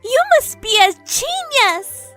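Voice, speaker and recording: Cute kind anime girlfriend type, super high sweet voice, unhurried, gets flustered easy. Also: very animated, faint music behind, full character voice. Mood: delighted, gushing. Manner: sweet, gentle, girlish. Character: kind, cute young woman, gushing and easily flustered. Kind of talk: anime-style manga dub acting.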